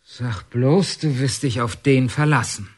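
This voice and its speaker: A man's voice: medium pitch, measured pace, a little mellow, natural voice. An actor playing a skeptical, plain-spoken village militiaman, in a radio drama. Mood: doubtful.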